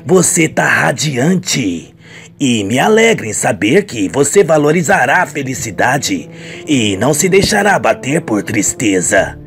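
A performer voicing a doting, encouraging middle-aged father in a radio soap, gentle and paternal. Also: mid-range voice, sing-song, measured pace, creaky, soft background music, pitched below the performer's own voice. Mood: proud, encouraging.

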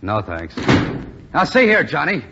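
A man's voice: a little high, wildly swooping pitch, a little slow, creaky, full character voice. An actor playing a blunt, slangy young cadet who scolds his buddy in a 1940s radio drama. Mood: exasperated. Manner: slangy, exasperated. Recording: dull 1940s radio recording, faint noise.

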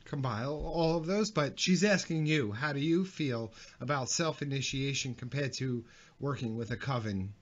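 A man's voice: mid-range voice, normal speed. A man hosting a podcast who rambles and repeats himself while reading viewer questions. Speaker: podcast host. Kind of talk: casual chat, reading viewer comments. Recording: dull lo-fi stream audio.

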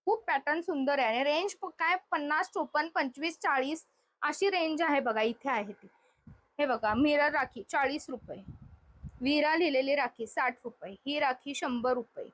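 A woman's voice: high-pitched, normal speed, fairly bright tone, rough recording. A woman hosting a shopping vlog, showing products to viewers in an unscripted, conversational way. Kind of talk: spontaneous product showcase, presenting to viewers and chatting with the seller.

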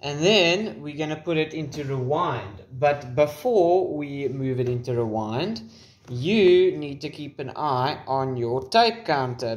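A man in his twenties presenting a casual, informal how-to demonstration with wry asides, recorded on a camera microphone. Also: medium pitch. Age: 20s. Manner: informal, wry joking asides and mild swearing. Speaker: tutorial presenter. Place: small room at home, indoors. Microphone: camera's built-in microphone at varying distance.

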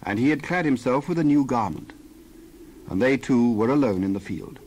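A man narrating scripture as a scripted dramatized reading, measured and solemn, with careful phrasing. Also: medium pitch, animated, darkish tone, rough recording.

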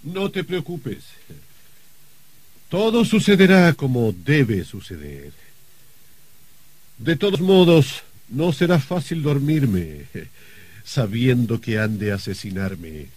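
A man's voice: medium pitch, big pitch swings, darkish tone, slightly rough, no room sound, exaggerated voice. An actor playing a wry, confident rich man facing his own murder, in theatrical old radio drama style. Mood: wry, calm. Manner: theatrical, deliberate, sardonic. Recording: vintage radio drama recording.

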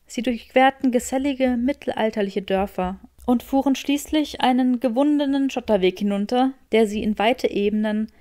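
A woman reading a story as an audiobook narrator, with expressive scripted delivery. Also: a little high, normal speed, hardly any pauses, no room sound, clean studio sound.

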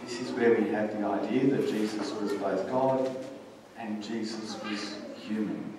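A man's voice: lowish voice, fairly even intonation, a little slow, noisy background. Echoey, distant male preacher. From Australia. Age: middle-aged. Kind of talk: sermon with scripture readings. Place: church stage, indoors. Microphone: stand mic at lectern, heard from far camera. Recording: distant, very echoey church hall recording.

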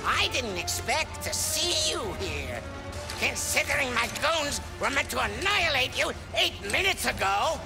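High swoopy evil robot scientist for a game, shrill gravelly, mock polite and gloating. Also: male voice, sing-song, unhurried, over music, exaggerated voice. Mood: gloating, mock-delighted. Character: theatrical, gloating robot mad-scientist villain, easily exasperated. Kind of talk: animated video game acting.

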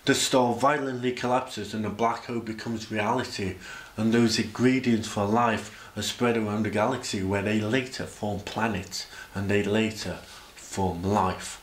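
A man's voice: lowish voice, steady tone, unhurried, slight background noise. Man, echoey spoken-word delivery. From Sheffield, England. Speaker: presenter. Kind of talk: rhyming spoken-word poetry about science. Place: indoor room, speaking to camera. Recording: echoey room.

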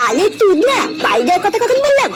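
Squeaky, brash cartoon village boy over loud music. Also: wildly swooping pitch, fast talker, exaggerated voice. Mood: annoyed, scolding. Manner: brash, bickering, slangy. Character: squeaky, foul-mouthed, scheming village boys in a comedy cartoon. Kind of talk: comedy cartoon voice acting.

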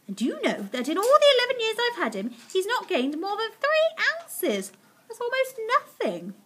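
A woman performs a sweet, besotted lady from a children's storybook. The voice is high and swoops widely, with a bright edge. She gushes and exclaims, full of adoring excitement. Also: moderate pace, heavily acted. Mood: wistful. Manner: gushing, exclamatory, emphatic.

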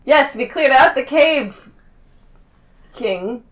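A woman's voice: high-pitched, expressive, drawn-out words, distant mic. A woman player in a tabletop game, chatting casually in a flippant, joking way. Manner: flippant, playful.

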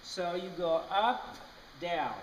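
High-voiced riding instructor in an echoey arena. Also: male voice, takes it slowly, distant mic. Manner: patient, explanatory, frequent "okay?" check-ins. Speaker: riding clinician. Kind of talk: coaching a rider. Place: large indoor riding arena. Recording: roomy echoey arena from afar with noise.

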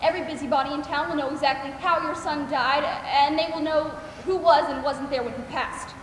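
High-pitched compassionate, determined mother played onstage by a woman. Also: fairly even intonation, a little fast, a bit bright. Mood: threatening. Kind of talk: amateur stage play acting. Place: on a theater stage, distant camera. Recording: echoey theater hall, noisy room.

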